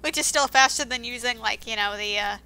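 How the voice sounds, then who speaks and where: Bright, high-pitched woman doing game commentary. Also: a little fast, clean tone. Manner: sarcastic, mildly profane asides. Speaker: gaming video host.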